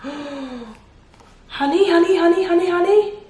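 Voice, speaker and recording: A woman's voice: very high-pitched, slow, darkish tone, voice pushed up. A woman voicing a friendly male storybook bear who is bluffing that he is a bee, eager and a little hesitant. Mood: longing. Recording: echoey room.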